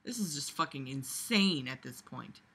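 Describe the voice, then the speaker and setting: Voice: mid-range voice, normal speed, husky. A person reading aloud and breaking off for casual, sarcastic running commentary, blunt, sweary and emphatic. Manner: animated, emphatic, jumps from muttering to loud outbursts. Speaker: reader reviewing a book aloud.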